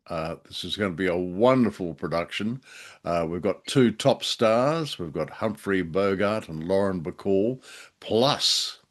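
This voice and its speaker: Male podcast co-host, low voice, chatty delivery for introducing programmes. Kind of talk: conversational show introduction.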